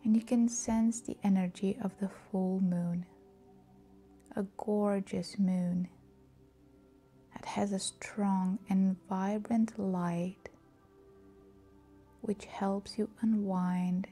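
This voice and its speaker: A woman leading a scripted guided meditation in a soft, breathy, soothing and calm voice. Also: medium pitch, very slow, long pauses, soft background music.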